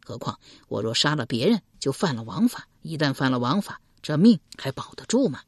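A woman's voice: extremely low pitch, sing-song, brisk, husky, no room sound, studio quality, heavily acted. A woman storyteller playing a ruthless, ambitious husband from ancient times, domineering and coldly persuasive. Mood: cold, calculating.

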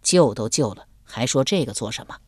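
A woman voicing a mild, weary male swordsman in an audiobook, soft-spoken, calm and resigned. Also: rumbling low, moderate pace, dry recording, clean studio sound, pitched below the performer's own voice, exaggerated voice. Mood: gentle, resigned.

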